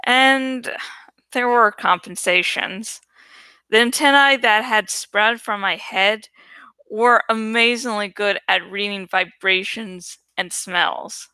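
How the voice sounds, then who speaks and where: A woman reading a young adult novel aloud in an expressive, deliberate, dramatic way. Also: mid-range voice, clean tone, no room sound. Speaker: audiobook reader.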